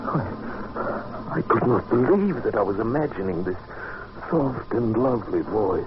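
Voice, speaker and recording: A real deep-voiced haunted adventurer, grave and dramatic, old radio drama w/ clear hiss. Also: male voice, expressive. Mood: entranced, troubled. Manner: deliberate, dramatic, halting when moved. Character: grave, resolute male adventurer, haunted, recounting horror. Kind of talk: old-time radio narration and acting. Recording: dull, noisy old radio recording.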